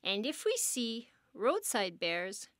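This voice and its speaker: A woman reading a scripted educational story as a park interpreter, with expressive, deliberate phrasing suited to families. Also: on the high side, no room sound, studio quality.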